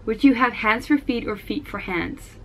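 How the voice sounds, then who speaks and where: A woman's voice: on the high side, normal speed, slight background noise, rough recording. A Dutch teenage girl with a Dutch accent, answering viewer questions in a casual vlog, frank and blunt, swearing casually. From Netherlands. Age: teens. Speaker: vlogger.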